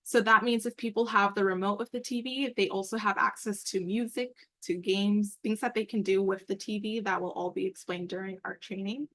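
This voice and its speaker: Woman walking coworkers thru stuff on a call, casual n upbeat, explains as she goes, mid-pitched voice. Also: fairly even intonation, moderate pace, few pauses, a bit bright. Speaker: meeting presenter and program coordinator. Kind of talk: informal work call presentation with screen walkthrough. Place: online group call.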